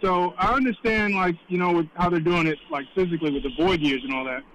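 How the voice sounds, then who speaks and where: High-voiced male caller with faint line noise. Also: fast talker, a bit bright, low-quality recording. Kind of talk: casual radio call-in question. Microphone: phone call.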